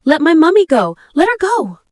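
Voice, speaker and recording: A small, terrified girl as voiced by a woman narrator in an audiobook. Her voice sits very high and shrill, full of desperate pleading, and the sound is dry and close. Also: sing-song, moderate pace, dry recording. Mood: screaming, desperate.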